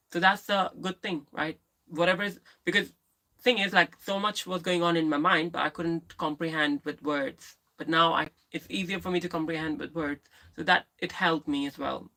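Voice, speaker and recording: A woman with a lisp who livestreams, chatting candidly and answering viewers in a rambling way with many restarts and pauses. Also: low voice, pushed and bright, poor audio. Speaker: live streamer. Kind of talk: casual live stream chat, answering viewers.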